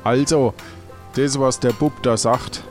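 A man's voice: mid-range voice, steady tone, slow. A man improvising casual comic adventure dialogue in a colloquial, bossy way. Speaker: player in an improvised audio play. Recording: music behind the voice.

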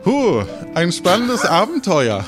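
A man's voice: high-pitched, huge pitch swings, slow pace. A man hosting an improvised audio adventure podcast as game master, talking casually to players and listeners. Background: light music with guitar.

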